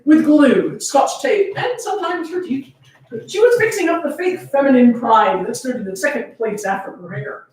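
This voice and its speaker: A woman's voice: high-pitched, animated, moderate pace, darkish tone. A woman giving a dramatic, comedic reading of fan fiction, theatrical and prone to amusement. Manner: theatrical, often breaking into laughter. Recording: very echoey room, far from mic.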